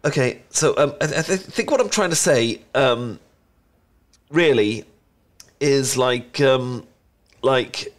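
Casting: male actor as adorkable young British chocolatier, mid-pitched, bashful and smitten, nervously tripping over words in an intimate audio roleplay. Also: close to an everyday voice. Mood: nervous, tender. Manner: nervous, stammering, halting. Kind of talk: ASMR roleplay, one-sided intimate conversation.

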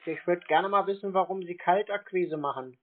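A man's voice: sits high, moderate pace. A man in a casual phone conversation, answering in a terse, unimpressed and contrary way. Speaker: scambaiter taking a sales call. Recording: narrowband phone sound.

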